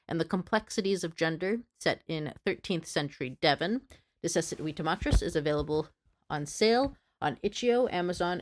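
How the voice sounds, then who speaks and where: A woman with a low-pitched voice reads a prepared history lesson. She speaks earnestly and drops in small apologetic asides. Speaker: history video creator. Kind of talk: scripted educational narration.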